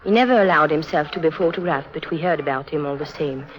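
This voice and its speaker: Muffled 50s radio play, woman acting lonely earnest teen circus orphan girl, lowish voice, confiding. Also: fast talker, natural voice. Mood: bitter, grave. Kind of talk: 1950s radio drama acting. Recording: muffled vintage 1950s radio recording.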